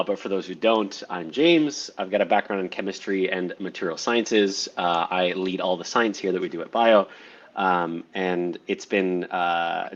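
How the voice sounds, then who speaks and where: A man co-hosting an online AMA, talking in an upbeat, enthusiastic way on a video call. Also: low-pitched. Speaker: co-host, science lead. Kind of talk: casual AMA chat. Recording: dull lo-fi audio.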